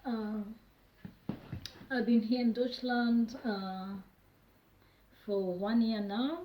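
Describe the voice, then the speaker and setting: A woman's voice: on the high side, fairly even intonation, very slow, muffled recording, a little hiss or hum. Rwandan woman in an echoey room. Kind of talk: personal testimony. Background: music.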